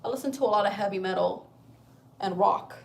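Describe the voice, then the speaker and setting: A woman's voice: medium pitch, fairly quick, gravelly. A woman in her thirties, from Chile, chatting casually on a livestream from home, rambling and swearing freely. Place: at home, indoors.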